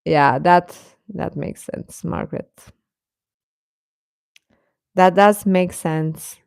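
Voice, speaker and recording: A woman's voice: fairly low pitch, creaky, darkish tone, dry recording. Casual woman chatting in a dry close space. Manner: informal, halting, often repeats short phrases. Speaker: livestream host chatting with viewers. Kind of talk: casual live chat, answering comments.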